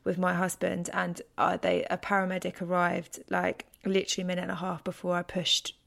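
Gravelly, swearing woman host. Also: fairly low pitch, completely flat delivery, fairly quick, fairly bright tone. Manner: emphatic, swears freely. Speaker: podcast host. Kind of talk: candid casual conversation.

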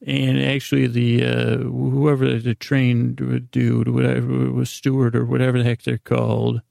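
A man hosting a bedtime podcast in a meandering, halting monologue full of tangents, with a gravelly, creaky voice. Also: medium pitch, monotone, darkish tone, no echo. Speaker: podcast host.

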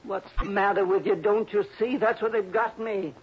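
High-voiced cranky old miser. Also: male voice, fairly even intonation, moderate pace, slightly rough. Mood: indignant, grudging. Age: older. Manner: blustering, badgering, querulous. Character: cranky, stingy, suspicious old uncle fearing murder. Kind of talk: old-time radio drama acting. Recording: noisy, muffled old radio recording.

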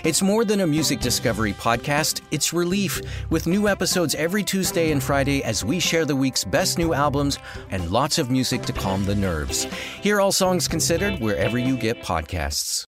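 A man's voice: on the high side, big pitch swings, moderate pace, music in the background. A man reading a scripted podcast promo in a soothing, inviting manner.

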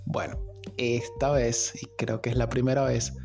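A man's voice: mid-range voice, little pitch movement, normal speed. A man hosting a podcast, telling a story in an informal, conversational way with sardonic asides. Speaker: podcast host. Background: music.

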